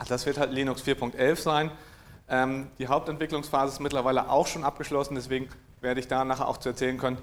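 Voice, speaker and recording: A man from northern Germany giving a technical conference talk, dry and hesitant, with many fillers and frequent throat clearing. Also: medium pitch, little pitch movement, fast talker. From East Frisia, northern Germany. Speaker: conference speaker. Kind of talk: technical talk to a live audience.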